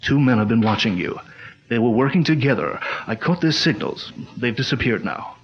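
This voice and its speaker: A man playing a calm, commanding crime-fighter chief. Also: mid-range voice, moderate pace, very noisy, natural voice. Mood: steady, commanding. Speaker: lead actor. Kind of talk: 1940s radio drama acting. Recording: dull lo-fi old radio recording.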